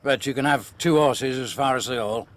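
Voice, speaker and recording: An actor playing a suspicious, villainous country innkeeper in a period drama, gruff, curt and sarcastic. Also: male voice, mid-range voice, moderate pace, lightly acted. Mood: bitter, grudging. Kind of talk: period detective drama acting.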